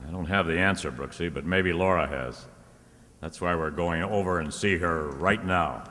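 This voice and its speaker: A hard-boiled, wisecracking male private investigator from a radio play, acted by a man. His voice is low and forceful, his talk clipped and slangy. It rings in a reverberant hall. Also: moderate pace, slight background noise. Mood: probing. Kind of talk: old-time radio detective drama acting. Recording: reverberant hall, stage-like sound.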